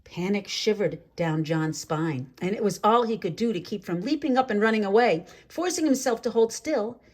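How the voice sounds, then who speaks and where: A woman reading a children's story aloud in an expressive, deliberate way with dramatic phrasing. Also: mid-range voice. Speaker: storybook reader. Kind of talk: read-aloud children's story narration with asides.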